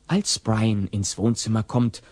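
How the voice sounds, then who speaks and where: A man narrating a scripted audio play with a husky voice. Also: lowish voice, steady tone, slow pace, a little mellow, no room sound.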